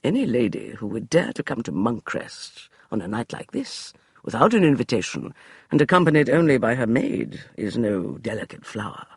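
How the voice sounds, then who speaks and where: Man voicing a wry gentleman, husky, dry close sound, storybook. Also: mid-range voice, very animated, moderate pace, no echo. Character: wry, amused gentleman watching from a window.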